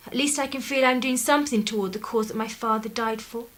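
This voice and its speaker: A woman's voice: a little high, fairly even intonation, quick pace, a bit bright, close to an everyday voice. An actress playing a headstrong young woman from a 1930s clergy family in a radio drama, intense and outspoken. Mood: indignant.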